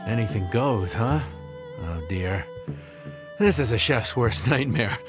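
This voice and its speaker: A man's voice: mid-range voice, animated, takes it slowly, music in the background. An actor voicing a seasoned middle-aged chef who encourages his stand-in. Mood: encouraging.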